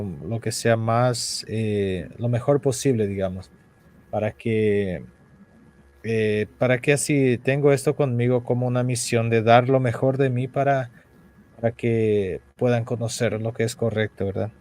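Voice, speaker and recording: A man from Paraguay leads a live language class over a video call. His pitch sits in the middle for a man. He talks in a friendly, meandering way, filling gaps with "eh" and "¿verdad?". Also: a little mellow. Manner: switches between Spanish and Portuguese, friendly, many fillers. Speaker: Guarani language teacher hosting a live class.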